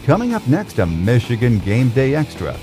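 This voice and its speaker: A man's voice: medium pitch, huge pitch swings, moderate pace, dark tone, soft background music. A man hosting a sports podcast, introducing segments and guests in a conversational style.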